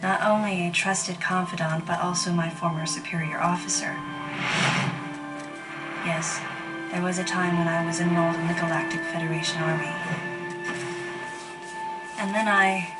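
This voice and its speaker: A woman playing a reflective bounty hunter, narrating a game cutscene in a measured, grave, introspective way. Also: fairly low pitch, level tone, far from the mic, over loud music, lightly acted, poor audio. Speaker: narrator and protagonist.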